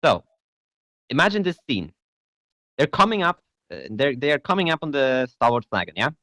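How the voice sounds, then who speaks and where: Young man, Polish native, GM on voice chat; halting, checks in a lot, dry mic, middle pitch. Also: sing-song, pushed and bright, no echo. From Poland. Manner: hesitant, self-deprecating, often checks in with "right?". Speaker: game master. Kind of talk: casual online tabletop game chat.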